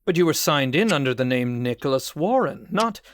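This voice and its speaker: Probing, animated male facility staffer by an actor. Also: fairly high pitch, moderate pace, no echo, close to an everyday voice.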